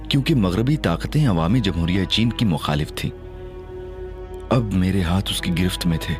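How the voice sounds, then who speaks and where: Playful male narrator over music. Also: lowish voice. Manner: playful, cheeky, mock-innocent. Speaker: narrator and young hero. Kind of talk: first-person audio drama narration and acting.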